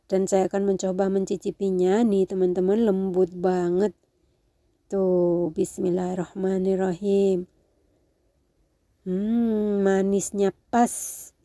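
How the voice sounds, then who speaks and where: Woman, nasal from a cold, unhurried, mellow tone, casual cooking voice-over. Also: medium pitch, flat delivery, no room sound. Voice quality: nasal, congested. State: has a cold. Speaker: home cooking video host.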